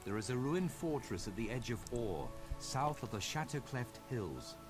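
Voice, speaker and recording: An actor playing a solemn male war commander in a video game, speaking in a grave, rousing and measured way. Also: medium pitch, very animated, lightly acted. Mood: solemn resolve. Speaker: game character, military leader. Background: orchestral game music.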